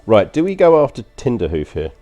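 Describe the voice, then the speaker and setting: A man's voice: medium pitch, huge pitch swings, brisk, dark tone, soft background music. A man with a London accent chatting casually while streaming a strategy game, rambling with frequent ums and uhs. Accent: London English. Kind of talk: casual gameplay commentary to stream chat.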